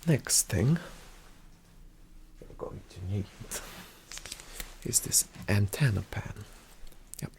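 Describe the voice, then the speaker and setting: A man performing a whispered ASMR roleplay as a calm spy agent, giving hushed, deliberate instructions in a soft, breathy, slightly creaky voice. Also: fairly low pitch, slight background noise. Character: calm, attentive male spy agent briefing a partner.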